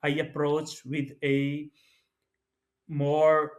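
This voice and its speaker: A man's voice: a little high, completely flat delivery, very slow. Man from Pakistan, thoughtful co-host. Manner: thoughtful, rambling with restarts. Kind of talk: conversational podcast discussion.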